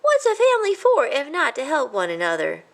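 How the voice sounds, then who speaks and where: A woman's voice: high-pitched, huge pitch swings, fairly quick, gravelly, pitched above the performer's own voice, full character voice. A woman voicing a kind, trusting boy mouse in a children's storybook, eager, naive and full of questions. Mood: cheerful, generous.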